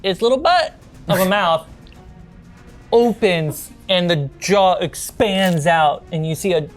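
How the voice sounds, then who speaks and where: A woman's voice: lowish voice, expressive, slow. A woman running a tabletop role-playing game as game master, mixing scene narration with casual, playful table talk. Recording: music behind the voice. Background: music.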